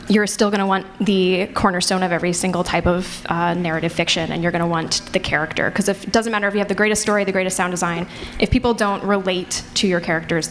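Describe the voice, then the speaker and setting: A woman speaking as a panelist in a conversational, emphatic way, explaining how she approaches writing. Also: lowish voice. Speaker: panelist, writer. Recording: noisy live convention room.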